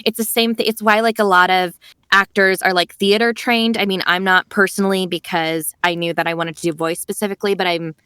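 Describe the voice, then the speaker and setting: A young adult woman talks as a guest on a podcast in a loose, chatty way, filling her sentences with "like" and "you know". Her voice sits at a middle pitch. The recording is close and dry, with no room sound. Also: a little fast, clear, resonant tone, no echo. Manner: chatty, animated, many "like" and "you know" fillers. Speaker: voice actress guest. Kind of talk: casual podcast interview.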